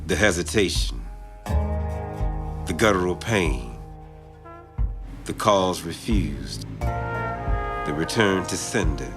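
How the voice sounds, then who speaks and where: Very slow, deep man reading poetry. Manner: deliberate, pausing after each short phrase. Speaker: poet. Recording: prominent music under the voice. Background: music with brass and guitar.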